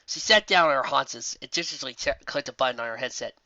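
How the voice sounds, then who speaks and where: An expressive narrator reading a scripted story aloud. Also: medium pitch, fast talker, no echo, dull audio. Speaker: fan fiction narrator.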